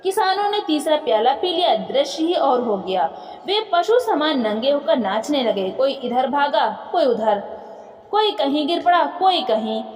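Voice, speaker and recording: A woman's voice: sits high, very animated, a little slow, darkish tone. A woman narrating a moral folk tale in formal literary phrasing, with dramatic storytelling delivery. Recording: echoey room with long reverb.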